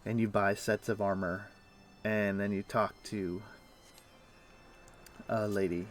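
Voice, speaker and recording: Guy streaming a game, lowish voice, rambling explainer w/ lots of uhs, soft music in back. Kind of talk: casual chat while playing.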